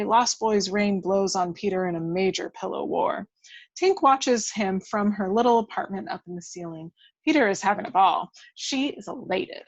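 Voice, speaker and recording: Woman, mid-pitched voice, scripted narration, reading screenplay action and scene headings, table read. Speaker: reader of stage directions.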